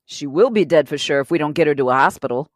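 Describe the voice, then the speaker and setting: A woman's voice: low-pitched, lively intonation, fast, no room sound, clean studio sound. A woman playing a loyal, hot-tempered robber wracked with guilt in an audio drama, speaking in a blunt, earnest, profane way. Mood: grave, insistent. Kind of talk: audio drama crime acting.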